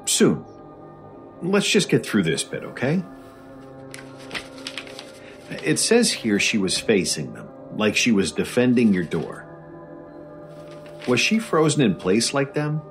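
A man's voice: medium pitch, huge pitch swings, faint music behind. A man playing a patient, probing doctor who conducts a recorded interview in an audio drama. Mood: gently insistent. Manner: measured, gently prodding, clinical.

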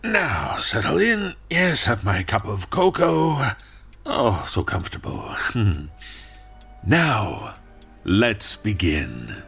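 Pompous, swooping bookshop owner. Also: male voice, on the low side, very animated, takes it slowly, gravelly, a bit bright, slightly rough, faint music behind, full character voice. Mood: dreamy, self-amused. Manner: theatrical, self-amused, chuckling, musing aloud. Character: pompous, fussy, lovelorn bookshop owner, man. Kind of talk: old-time radio monologue.

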